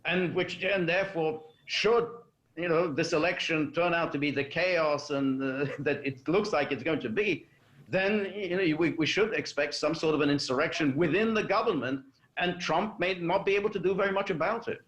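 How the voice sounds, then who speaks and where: A man giving measured, explanatory commentary as a guest in a political panel discussion. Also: a little high, fairly bright tone. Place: remote call for a quarantine show. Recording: dull lo-fi connection.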